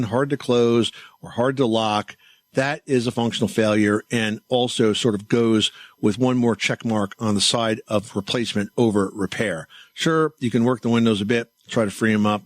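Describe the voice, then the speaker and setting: A man's voice: fairly low pitch, no echo. Man giving dry, close-miked radio advice. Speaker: host of a home improvement call-in radio show. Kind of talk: conversational advice radio hosting. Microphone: close studio microphone.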